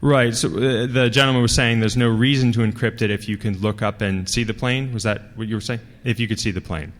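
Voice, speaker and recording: A man presents technical material to a conference audience in a halting, conversational way. His mid-pitched voice rambles informally from point to point, carried by the long reverb of a big hall. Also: fairly even intonation, fairly bright tone. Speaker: security researcher giving a conference talk. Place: large conference hall, speaking to a live audience.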